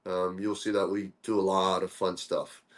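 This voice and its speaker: A young man from Korea, a guest in a casual interview, talking in a relaxed, friendly way with lots of "uh" fillers. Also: low voice, moderate pace. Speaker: guest, coffee dripper co-founder.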